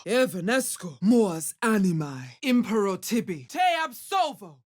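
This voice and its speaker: A woman playing a wary, dry fantasy game adventurer with a deep, husky voice and a measured, understated delivery. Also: very animated. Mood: commanding incantation. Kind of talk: video game character voice lines, short exploration remarks and spell incantations.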